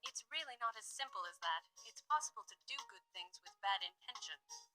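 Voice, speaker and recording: A woman voicing a guarded, formal wife in a murder mystery visual novel, with measured, precise speech. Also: medium pitch, lively intonation, over music, natural voice. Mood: defensive, matter-of-fact.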